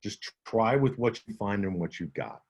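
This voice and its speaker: A man with a slight Southern twang answers questions at a writers' conference over a video call. His voice sits on the low side. He talks conversationally and often restarts his sentences. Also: expressive, normal speed, darkish tone, muffled recording. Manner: conversational, with many restarts and self-corrections. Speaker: audiobook narrator and instructor.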